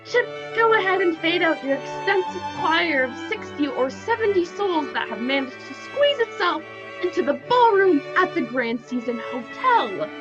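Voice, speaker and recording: A woman's voice: very high-pitched, very animated, a little slow, highs cut off, music in the background, full character voice. A young woman playing a wry, showy radio announcer in a spoof of old-time radio, over a video call. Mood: wry, brisk. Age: teens to young adult.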